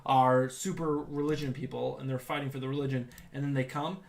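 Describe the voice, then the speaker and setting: A man's voice: mid-range voice, flat delivery, brisk, creaky. Podcast co-host, man, New England accent, eager and rambling, lots of ums and restarts. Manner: enthusiastic, rambling with restarts and fillers. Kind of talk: casual chat.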